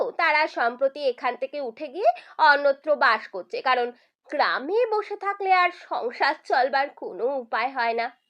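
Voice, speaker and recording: A woman's voice: high voice, a bit bright. A woman reading a literary short story as scripted audiobook narration. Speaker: storyteller reading for own channel. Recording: music behind the voice. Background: background music.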